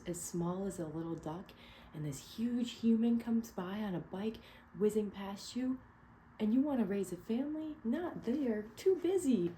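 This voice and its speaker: A woman reading a picture book aloud to children as a teacher, lively and encouraging, stopping to ask them questions. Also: on the high side, slight background noise, rough recording.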